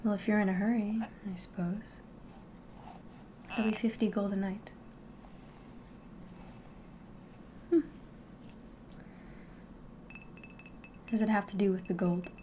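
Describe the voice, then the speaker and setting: A woman playing a friendly, curious innkeeper in an ASMR roleplay, speaking softly and politely, often slipping into a whisper. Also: mid-range voice, fairly even intonation, a little hiss or hum, natural voice. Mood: welcoming, curious. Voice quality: soft, breathy, often whispering. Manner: gentle, unhurried, polite, hospitable. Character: friendly, curious female innkeeper of a fantasy tavern.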